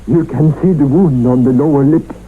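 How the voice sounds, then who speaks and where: An actor playing a scheming husband in a radio mystery, speaking in a formal, measured, theatrical way. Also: male voice, medium pitch, close to an everyday voice. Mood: pleading, insistent. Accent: put-on Middle Eastern, later English. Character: vengeful English husband posing as humble, devout Eastern merchant. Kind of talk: radio mystery drama acting. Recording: noisy, very muffled old radio recording.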